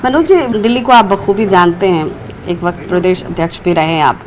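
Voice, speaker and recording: A woman's voice: fairly low pitch, brisk, low-quality recording. A woman working as a TV news interviewer, putting formal questions in a broadcast interview. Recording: noticeable background ambient noise.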